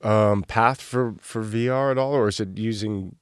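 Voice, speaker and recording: A man hosting a casual interview, asking short, probing questions and adding quick interjections. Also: lowish voice, smooth, dry recording, studio quality. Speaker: interviewer host. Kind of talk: casual conversation.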